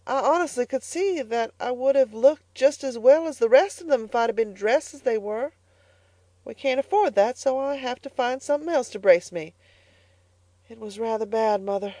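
Woman voicing a proud young farm girl, high and earnest, determined, dry sound. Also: expressive, moderate pace, warm tone, no room sound, natural voice. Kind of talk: audiobook character voice.

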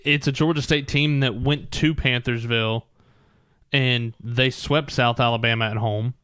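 Smooth-voiced man recapping sports. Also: medium pitch, fairly even intonation, normal speed, a bit bright, dry recording, clean studio sound. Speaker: sports show host. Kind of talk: sports talk and game recap.

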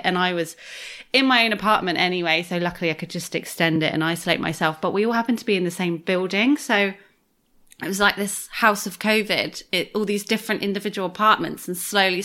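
A woman's voice: on the low side, pushed and bright. Earnest woman telling a story on a call. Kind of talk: casual chat over video call.

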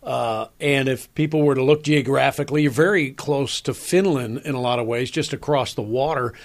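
A man's voice: medium pitch, moderate pace. A man hosting an interview, giving informative lead-ins before his questions.

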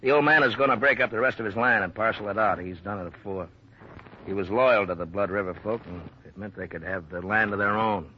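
An actor playing a blunt, plain-spoken small-town sheriff, stern and burdened, in a 1950s radio drama. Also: male voice, on the low side, steady tone, brisk, lightly acted. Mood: weary, regretful. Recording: muffled, hissy vintage radio recording.

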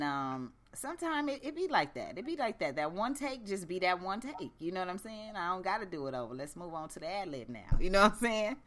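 A woman hosting a radio show in blunt, profane, laid-back slang, with a deep voice. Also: a bit bright, slight background noise. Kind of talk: casual radio chat.

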